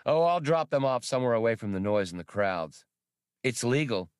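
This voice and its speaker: A cocky star pilot in a space adventure audiobook, acted by a male reader. He talks with dry, casual bite and lively swings of pitch, needling whoever he faces. The sound is close and dry. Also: normal speed, clean tone, dry recording, lightly acted. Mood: mock casual.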